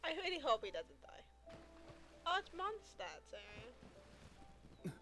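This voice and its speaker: High-pitched woman streaming a game. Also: very animated, takes it slowly, pushed and bright. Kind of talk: casual live stream commentary. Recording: game music behind the voice. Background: video game music.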